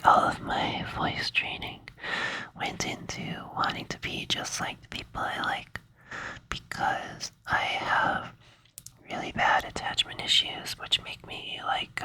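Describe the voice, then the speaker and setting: A young man whispering in a rambling, playful ASMR style, with many fillers. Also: rough recording. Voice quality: soft whisper. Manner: rambling, playful, says "like" a lot. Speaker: ASMR creator.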